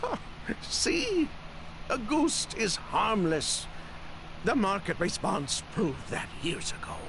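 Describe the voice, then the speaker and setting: An actor playing a defensive, evasive perfume merchant in a video game, with a theatrical, self-justifying way of talking. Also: male voice, sits high, huge pitch swings, slow, creaky, noisy background, exaggerated voice. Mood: flustered, insistent.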